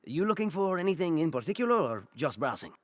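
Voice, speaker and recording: A jumpy, defensive male trader in a video game cutscene, his voice rising and falling a lot in a fairly high register. The sound is dry and close, with no room around it. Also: big pitch swings, fast, no echo. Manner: jumpy, defensive, then salesy.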